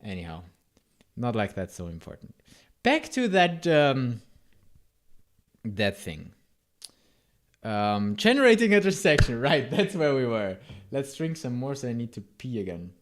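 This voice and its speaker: A man's voice: mid-range voice, big pitch swings, a little slow, long pauses. A man with a German accent whose first language is German, streaming a live coding session and chatting with his viewers in a rambling, self-interrupting way. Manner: rambling, self-interrupting, answers chat with asides. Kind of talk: casual live coding stream, reading chat.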